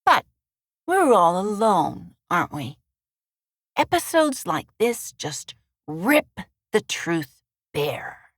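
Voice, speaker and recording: A woman's voice: medium pitch, sing-song, slow, long pauses, dry recording, clean studio sound. Woman doing a sing-song, sarcastic barmaid. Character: bitter, sing-song pink-haired woman bartender.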